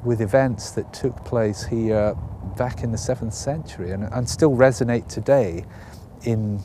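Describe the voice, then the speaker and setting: A man's voice: mid-range voice, unhurried, noisy background. A man explaining things in a conversational way, hedging with fillers as he goes. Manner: conversational, hedging with "you know" and "um". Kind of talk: explanatory talk.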